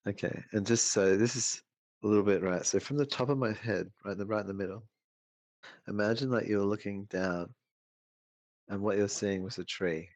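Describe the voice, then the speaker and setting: A man's voice: on the low side, moderate pace, a little mellow, dry recording. A man co-hosting a casual, absurd chat show, rambling and restarting his sentences. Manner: rambling, hesitant, lots of restarts. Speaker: co-host. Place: home setup, streamed show.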